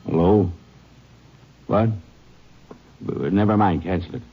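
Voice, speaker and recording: A man acting a short-tempered, heavyset rancher under suspicion in a radio western, gruff, impatient and evasive. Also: sits low, slow, full character voice. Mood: guarded, defiant. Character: edgy, defiant fat male rancher, short-tempered suspect. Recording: muffled, hissy old-time radio recording.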